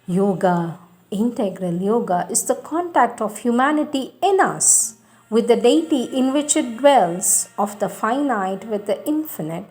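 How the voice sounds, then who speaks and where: A woman giving a devotional reading of a spiritual text in a solemn, measured way, with emphatic phrasing. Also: fairly high pitch, expressive, a little mellow. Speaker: reader of spiritual texts. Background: music.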